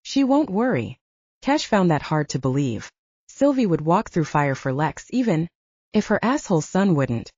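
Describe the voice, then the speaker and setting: A woman's voice: deep voice, very animated, normal speed, a little mellow, dry recording, muffled recording. A woman reading a scripted story as an audiobook narrator.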